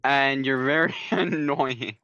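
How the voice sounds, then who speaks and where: A man's voice: medium pitch, takes it slowly, pushed and bright, dry recording, lo-fi sound. An American man from New Jersey co-hosting a podcast in casual chat, jokey and given to repeating phrases for effect. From New Jersey, United States. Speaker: podcast co-host.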